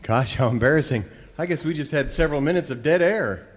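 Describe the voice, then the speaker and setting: A man's voice: a little high, a little fast, close to an everyday voice. A man playing an earnest, polite cowboy host on a live comedy radio show, a folksy straight man. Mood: earnest, mild.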